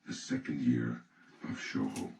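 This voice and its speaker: A man reading an audiobook from a script in a solemn, deliberate, instructional way. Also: rumbling low, vocal fry, dark tone. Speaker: audiobook reader. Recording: roomy, distant mic, faint noise.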